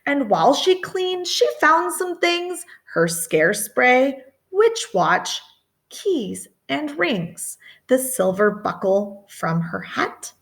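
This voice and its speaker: A middle-aged woman reading a children's picture book expressively, with dramatic emphasis and chatty asides. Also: high voice. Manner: animated, deliberate, dramatic emphasis. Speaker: storytime reader.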